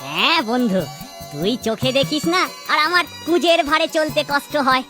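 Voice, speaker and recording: High, childlike hunchbacked outcast for a folktale cartoon: plaintive, touchy, kindly, music behind. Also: female voice, big pitch swings, moderate pace. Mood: friendly.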